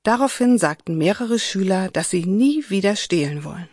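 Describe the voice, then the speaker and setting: Steady woman reading reports. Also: mid-range voice, moderate pace, breathy edge, no echo, studio quality. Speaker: reader of reports and listings. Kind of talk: scripted reading.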